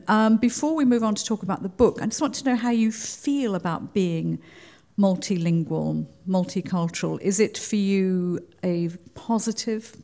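A woman of middling pitch chairs a literary panel. She fires off questions briskly and teases the panelists playfully. Her delivery is lively and engaged.

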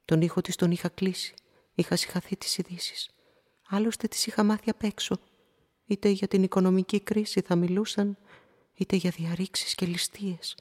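Actress as a lonely woman narrating her own ordeal, mid pitch, vivid radio play delivery. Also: fairly even intonation, darkish tone, close to an everyday voice. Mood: wry. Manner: vivid, breathless storytelling, rising to alarm. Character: lonely woman living alone, tense and wry. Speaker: first-person narrator character. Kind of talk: radio drama acting. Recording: studio radio play with music behind.

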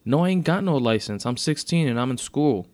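A man's voice: medium pitch, expressive, unhurried, creaky, dark tone, dry recording, voice pushed up. A man voicing a defiant, upset sixteen-year-old girl with slangy, indignant speech in a narrated story.

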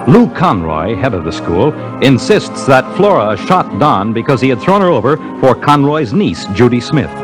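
A dramatic narrator of an old radio crime show , read by a man, speaking over clear music. His formal delivery rises and falls in a lively way at a medium pitch. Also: normal speed, few pauses, lightly acted. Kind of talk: 1950s radio scripted narration.

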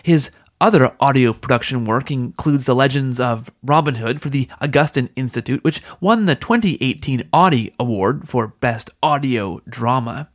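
A man's voice: medium pitch, expressive, moderate pace, few pauses. A man hosting an interview podcast, speaking in an earnest, enthusiastic way. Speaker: podcast host. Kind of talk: podcast introduction and interview talk.

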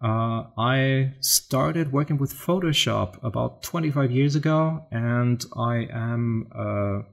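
A man's voice: medium pitch, slow, vocal fry. A middle-aged man from Germany with a German accent, a photographer chatting as an interview guest, often restarting and repeating words while searching for his English. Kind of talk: casual interview chat.

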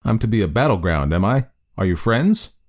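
A man's voice: on the low side, very animated, normal speed. A man voicing a lonely stranded space explorer, nervous and arguing with himself. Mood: anxious.

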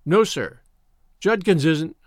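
A man's voice: on the high side, expressive, slow pace, no room sound, clean studio sound. A man voicing an eager, respectful young schoolboy scout in a story, deferential and a little hesitant. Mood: anxious, respectful.

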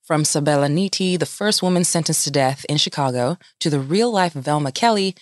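Playful slangy woman cohost, fairly low voice, dry close mic. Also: fairly quick, no room sound. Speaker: podcast co-host. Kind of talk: casual chat.

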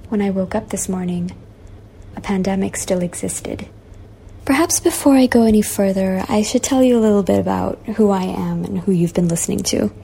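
A woman in her twenties from Malaysia, reading a personal essay aloud in an earnest, measured way with repeated refrains. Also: medium pitch, gravelly, dark tone, a little hiss or hum. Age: 20s. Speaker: guest essayist. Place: childhood bedroom at home.

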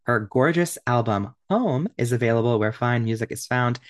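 A man from Ohio co-hosting a podcast, gushing and excitable, laughing often as he chats and reads out a guest introduction. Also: mid-range voice, moderate pace, no echo, studio quality. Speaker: podcast co-host. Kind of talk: casual chat, reading a guest introduction.

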